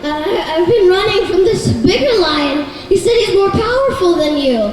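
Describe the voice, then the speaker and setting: A woman voicing a small, anxious, scheming rabbit that stammers haltingly in a children's puppet show. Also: very high-pitched, animated, a crowd in the background. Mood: nervous, coaxing. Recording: very echoey hall, distant mic, faint noise.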